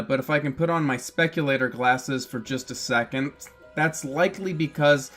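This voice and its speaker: A man giving casual, opinionated film commentary in a blunt, sardonic way. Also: on the high side. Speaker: video essayist reviewing films.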